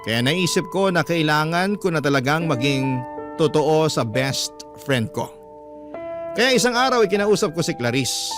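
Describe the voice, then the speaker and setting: Casting: man for dramatic first-person letter reading, fairly high voice, emotive delivery, strong music bed. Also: lively intonation, moderate pace. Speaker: radio storyteller, host. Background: background music.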